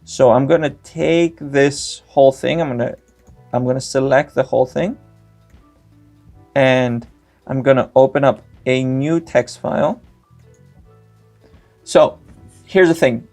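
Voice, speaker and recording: A young man, Greek his first language, explains a software tutorial at a desk mic in a gravelly, middle-pitched voice. His delivery is step-by-step and dotted with "uh" fillers. Faint background music sits under the voice-over. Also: slow, long pauses. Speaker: tutorial host. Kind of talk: screen-recorded tech tutorial.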